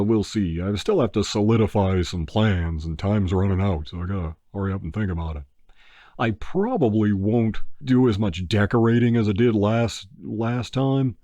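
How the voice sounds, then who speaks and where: A man's voice: sits low, warm tone, dry recording, lo-fi sound. A man hosting his own channel, chatting casually in a rambling, laid-back way with lots of ums and uhs. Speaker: movie review channel host. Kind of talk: casual podcast-style chat.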